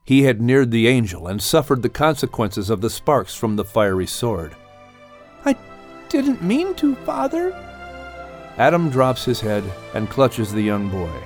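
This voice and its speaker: A man narrating an audiobook with dramatic, expressive storytelling. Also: lowish voice, moderate pace, few pauses, soft background music.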